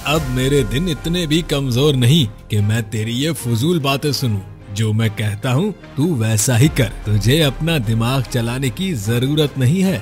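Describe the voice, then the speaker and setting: A haughty, grasping male innkeeper from a village, acted by a man. He talks coldly and dismissively in a mid-pitched voice, in a cartoon moral tale with faint music underneath. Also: animated, unhurried, hardly any pauses, natural voice. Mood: bossy, harsh. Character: greedy, arrogant male village hotel owner, cold and dismissive. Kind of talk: cartoon moral story dialogue.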